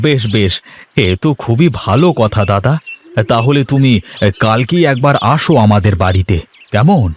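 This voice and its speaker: A man's voice: mid-range voice, animated, unhurried, a little hiss or hum, natural voice. A man voicing an agreeable middle-aged village father in a cartoon, with a genial, deferential manner. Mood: pleased. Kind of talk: animated moral-story cartoon dialogue.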